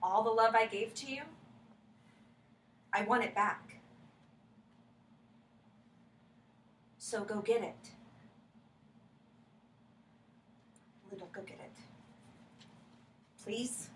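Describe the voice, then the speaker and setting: A woman's voice: a little high, steady tone, a little slow, pauses often, a bit bright. A woman playing a hurt, insistent girlfriend ending a romance in a staged play reading, emphatic and repeating herself. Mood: subdued, insistent. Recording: echoey room, distant mic, faint noise.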